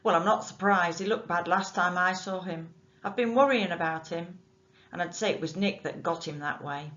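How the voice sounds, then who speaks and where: A woman's voice: mid-range voice, lively intonation, unhurried, fairly bright tone, pitched above the performer's own voice. A woman voicing a kindly, chatty man with a strange accent, in a dull lo-fi recording. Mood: friendly.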